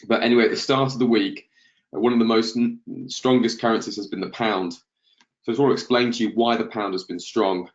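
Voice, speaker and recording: A man's voice: on the low side, fairly even intonation, brisk, lo-fi sound. Congested man hosting a market webinar. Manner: hesitant, many ums and restarts. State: congested from flu, coughing.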